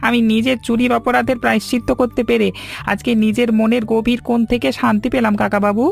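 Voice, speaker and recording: A man puts on a humble, earnest young villager for a cartoon. The voice is high and gravelly, rattling along on a level tone. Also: flat delivery, fast, heavily acted. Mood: humble, relieved. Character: remorseful, eager young villager, reformed thief. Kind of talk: Bengali cartoon story voice acting. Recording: music behind the voice. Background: music.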